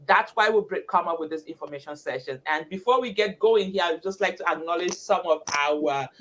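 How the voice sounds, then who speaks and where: A woman's voice: lowish voice, a little fast, a little hiss or hum, low-quality recording. A woman hosting a live online chat, chatty and enthusiastic, often calling people "girl". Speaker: livestream host. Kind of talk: casual live-stream chat.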